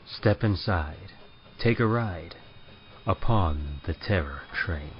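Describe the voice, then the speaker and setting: Man playing a deep, ominous ghost-train conductor. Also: lively intonation, background noise. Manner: grave, deliberate, theatrical. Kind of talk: old-time radio horror, rhymed and dramatic narration. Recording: muffled old-radio sound, loud music behind.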